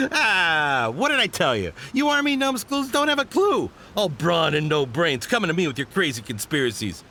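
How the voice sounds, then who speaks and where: A man's voice: a little high, very animated, moderate pace, slight background noise, heavily acted. A man voicing a brash, weaselly merchant in a video game, blustering and whiny. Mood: smug, scornful. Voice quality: bright, sharp. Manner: blustering, stammering when cornered. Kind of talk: video game character acting.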